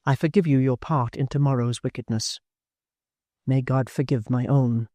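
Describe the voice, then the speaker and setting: A man voicing a proud, bitter young woman in a storybook reading, speaking low and steady. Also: moderate pace, no room sound, studio quality, close to an everyday voice. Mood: cold. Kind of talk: audiobook character voice.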